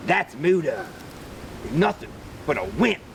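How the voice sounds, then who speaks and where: Man voicing a sly coaxing storybook tiger, animated. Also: slow pace, heavily acted. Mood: boastful. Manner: casual, coaxing, self-assured. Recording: distant mic, rumbly background noise.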